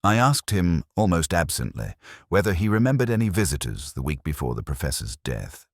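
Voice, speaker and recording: A man playing a weary, cerebral police inspector, narrating a detective audio drama in a measured, brooding, literary way with a gravelly voice. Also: sits low, big pitch swings, no echo, clean studio sound, full character voice. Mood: measured, sceptical.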